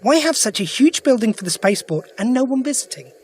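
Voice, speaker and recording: An actor playing a sharp, principled alien adventurer in an audio drama, brisk and inquisitive. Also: male voice, very high voice, big pitch swings, breathy edge, full character voice. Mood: thoughtful, brisk. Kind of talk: full-cast audio drama acting.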